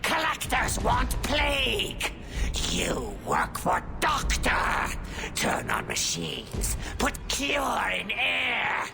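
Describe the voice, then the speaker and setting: An actor playing a savage alien thug in a video game, shrieking in a gravelly voice and broken grammar. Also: male voice, very high voice, huge pitch swings, very slow, exaggerated voice. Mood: snarling, threatening. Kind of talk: video game creature acting. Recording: loud music behind.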